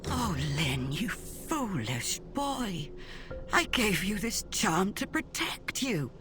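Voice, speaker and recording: A woman's voice: medium pitch, sing-song, slow, over music. An actress playing a protective, devout village mother figure in a video game, fussing and scolding. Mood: worried, scolding. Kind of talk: video game cutscene acting.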